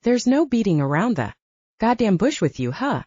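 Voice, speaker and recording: A woman narrator plays a young-hearted, determined hero in an audiobook. He banters in a wry, casual way, with mobile pitch and a mellow tone. The audio is slightly dull. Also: big pitch swings, moderate pace, slightly rough, no echo. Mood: flustered. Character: teasing, determined man, first-person hero of werewolf story. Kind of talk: audiobook character acting.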